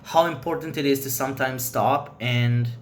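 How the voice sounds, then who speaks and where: A man's voice: mid-range voice, steady tone, moderate pace, slight background noise. A man whose first language is Hebrew, chatting casually to camera as a vlogger, rambling loosely and giving frank opinions.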